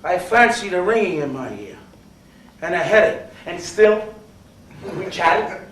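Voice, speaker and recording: A man's voice: high voice, animated, unhurried. A man performing a theatrical horror monologue as a guilt-maddened murderer, melodramatic and intense. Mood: feigned calm, uneasy. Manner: intense, melodramatic, swinging from hushed to shrieking. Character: guilt-maddened male murderer, feigning calm then frantic. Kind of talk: theatrical dramatic monologue of a classic horror tale. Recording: roomy, distant mic, faint noise.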